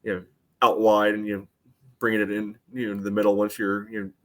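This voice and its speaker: A fairly low-pitched man co-hosts a sports podcast. He explains soccer tactics in casual talk, qualifying his points with asides as he goes. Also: monotone, quick pace, a bit bright.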